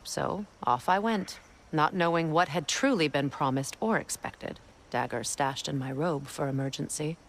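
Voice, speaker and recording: A woman playing a proud, wistful Norse goddess and weary former queen in a video game, speaking in a measured, solemn way. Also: deep voice, gravelly, a little hiss or hum, poor audio. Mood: wry, measured. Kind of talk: dramatic video game acting.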